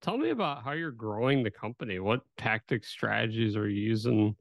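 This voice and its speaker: A man's voice: fairly low pitch, lively intonation, fairly quick, vocal fry, dry recording. A man hosting a business podcast, asking questions in an upbeat, enthusiastic way. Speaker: podcast host.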